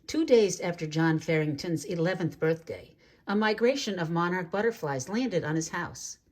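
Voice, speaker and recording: A woman reading a children's story aloud in an expressive, deliberate way with dramatic phrasing. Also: lowish voice. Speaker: storybook reader. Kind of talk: read-aloud children's story narration with asides.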